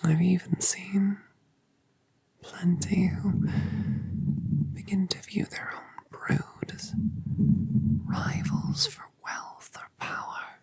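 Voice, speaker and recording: Colossal doting dragon mother, a woman whispering her: breathy, very slow, hushed ASMR. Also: medium pitch, level tone, dry recording, exaggerated voice. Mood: tender. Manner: soft, slow, tender reassurance. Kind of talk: ASMR roleplay audio.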